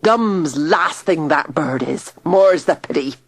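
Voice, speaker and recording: A worried old countryman, gasping and fretting, with a rough, grumbling way of talking, as a woman reader puts him on. The voice sits only a little above her own and sounds husky. It is recorded close and dry for a storybook reading. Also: deep voice, lively intonation, slow, no room sound, exaggerated voice. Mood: fretful grumbling. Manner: rustic, fretful, gasping. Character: frightened, gruff old man.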